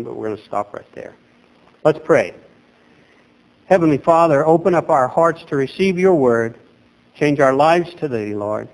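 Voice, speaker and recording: A man in his thirties preaching a sermon from a lectern in a small chapel, animated and emphatic, recorded by a camera at a distance. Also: medium pitch. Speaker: pastor.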